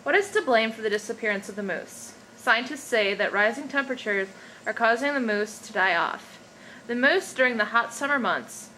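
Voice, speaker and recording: A woman's voice: a little high, pushed and bright. A woman giving a scripted, documentary-style narration. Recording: noisy amateur recording with background ambience.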